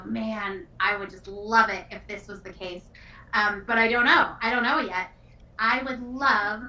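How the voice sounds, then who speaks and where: A woman who makes games reflects earnestly in an interview. Her voice is bright and forward in tone, heard from a distance with noticeable room echo. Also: mid-range voice. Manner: earnest, thoughtful. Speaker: game developer. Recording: roomy, distant mic.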